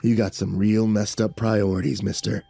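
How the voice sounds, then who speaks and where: A man voicing a grizzled older man who speaks deadpan and matter-of-fact. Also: on the low side, moderate pace, creaky, no echo, soft background music.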